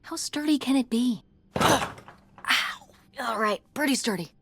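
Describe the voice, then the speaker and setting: A bright-voiced teenage girl, eager and stubborn, who pushes back with quick teasing comebacks. in a story-driven video game, in a slightly high register. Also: lively intonation, vocal fry, a little hiss or hum, heavily acted.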